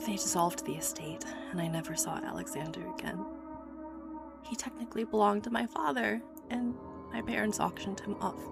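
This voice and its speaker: A woman's voice: medium pitch, normal speed, gravelly, close to an everyday voice. A woman playing an obsessive, haunted young inventor from a wealthy family in a horror audio drama, confiding hesitantly with phrases that drop to a whisper. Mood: anguished, grieving. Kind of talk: horror audio drama acting, confessional monologue. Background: background music.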